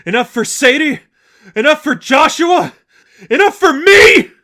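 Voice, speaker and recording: Storybook heroine, man performing young masked vigilante woman, devout, anguished, halting and intense. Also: extremely high pitch, sing-song, sharp tone, voice pushed up, full character voice. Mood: furious, screaming. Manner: screaming demands, then halting, shaken short phrases.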